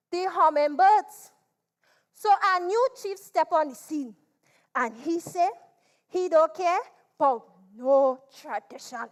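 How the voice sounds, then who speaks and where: A woman with a Trinidadian accent performing a folk tale as a dramatic storyteller, drawing words out theatrically and repeating them. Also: high-pitched. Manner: theatrical, drawn-out delivery with repetition. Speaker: storyteller performing at a literature competition.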